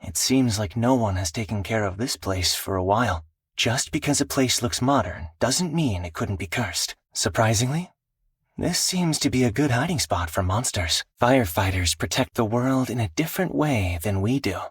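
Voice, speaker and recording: A man voicing an earnest, dutiful teenage exorcist swordsman in a video game, speaking solemnly and politely in measured phrases. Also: mid-range voice, animated, breathy edge, no room sound, studio quality. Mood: calm, thoughtful. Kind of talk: scripted video game character voice lines.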